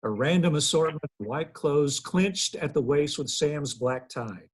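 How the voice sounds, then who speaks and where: Husky mid-pitched narrator. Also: lively intonation, unhurried. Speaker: narrator of a table read. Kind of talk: scripted narration of stage directions.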